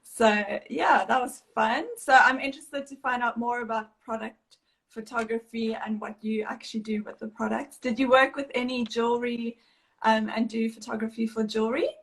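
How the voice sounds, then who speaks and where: A woman's voice: a little high, fairly even intonation, moderate pace. A woman from South Africa hosting a casual live video chat, interviewing a guest in an upbeat, chatty way. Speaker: host.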